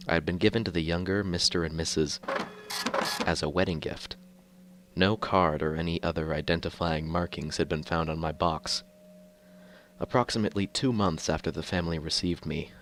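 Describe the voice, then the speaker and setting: A man narrating scripted horror fiction in the first person, reading in a formal, measured way like an official document. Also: rumbling low, noisy background.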